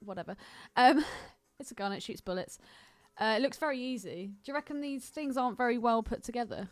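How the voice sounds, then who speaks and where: Woman, teasing, higher-pitched podcast co-host. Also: expressive, brisk, faint music behind. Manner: teasing, blunt, sweary. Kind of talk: casual film chat banter.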